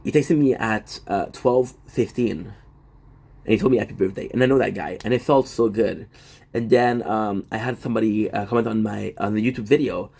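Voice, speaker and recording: A man's voice: lowish voice, normal speed, gravelly, darkish tone, husky. Rambling Québécois man on a livestream. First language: French. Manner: rambling, restarts often, answers chat. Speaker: livestream host. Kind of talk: casual livestream chat.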